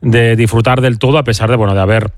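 A man's voice: mid-range voice, steady tone, quick pace, dry recording, clean studio sound. Man hosting sports radio. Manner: many fillers and restarts. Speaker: radio sports show host. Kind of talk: hesitant conversational sports radio presenting.

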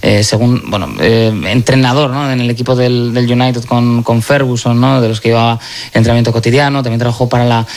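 A man's voice: mid-range voice, level tone, brisk, a little mellow. A man on a sports radio panel, talking football in a rambling way with many fillers. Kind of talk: casual football chat.

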